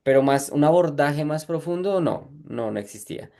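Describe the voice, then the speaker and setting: A man's voice: fairly high pitch, expressive, moderate pace, dark tone. Male teacher musing in podcast chat. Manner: reflective, repeats words while searching. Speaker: guest, language teacher and researcher. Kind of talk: casual podcast conversation.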